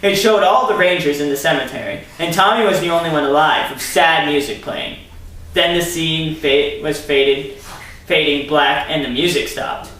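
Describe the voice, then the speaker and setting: A man's voice: on the high side, normal speed, bright, forceful tone, slight background noise. A man reading a story aloud theatrically, breaking in with sarcastic asides as commentary. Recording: very echoey room, distant mic.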